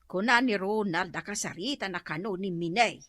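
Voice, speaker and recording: A woman's voice: mid-range voice, moderate pace, a bit bright, no room sound, natural voice. A woman playing a nosy neighbor asking about gossip in a radio comedy drama. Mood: curious.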